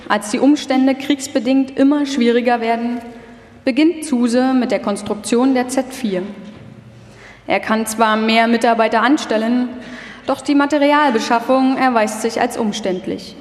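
A woman's voice: a little high, darkish tone. A woman reading scripted narration as the narrator of a live documentary radio feature. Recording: echoey hall, live stage performance.

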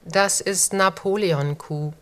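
A woman's voice: fairly low pitch, slow pace. A woman speaking a short sample phrase for a recording.

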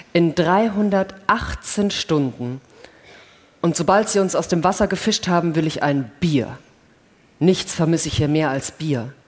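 A woman playing a weary, grumbling astronaut on the moon in a staged radio-play reading. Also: on the low side. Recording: reverberant hall with loud noise and clicks.

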